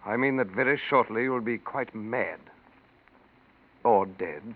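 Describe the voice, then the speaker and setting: Actor as an urgent suitor, tinny old recording. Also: male voice, mid-range voice, a little slow, lightly acted. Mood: urgent pleading. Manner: earnest, emphatic, theatrical. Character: urgent, pleading suitor, exasperated and protective. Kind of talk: 1940s radio mystery acting. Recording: tinny, noisy 1940s radio recording.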